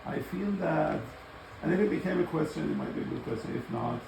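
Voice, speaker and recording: A man thinking aloud in an unscripted academic architecture discussion, halting and often rephrasing as he moderates. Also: on the high side, animated. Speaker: discussion moderator responding to speakers. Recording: roomy, distant microphone, clear background noise.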